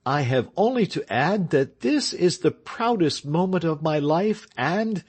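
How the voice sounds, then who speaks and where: A man's voice: on the high side, expressive, a little slow, husky, voice pushed up. A man voicing a bashful village hero who turns pompous while making a speech to a crowd.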